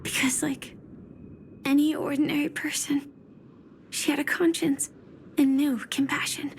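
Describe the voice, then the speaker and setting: A woman playing a guarded, quietly grave young girl in a video game, speaking with soft restraint. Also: high voice, level tone, a little slow, whispered. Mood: grave, reflective. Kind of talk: scripted game voice acting.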